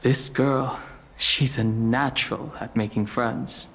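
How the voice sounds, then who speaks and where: A man playing a calm, guarded and courteous young traveler in a video game, speaking politely and with reserve. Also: mid-range voice, slow, a little hiss or hum. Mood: reserved, curious.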